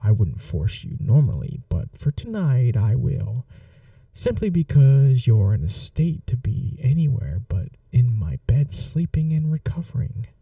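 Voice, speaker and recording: A man playing a courteous, caring gentleman vampire in an intimate ASMR roleplay, speaking softly in an unhurried, polite, slightly old-fashioned way. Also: mid-range voice, sing-song, gravelly, dry recording, far from the mic, heavily acted. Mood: soft, considerate.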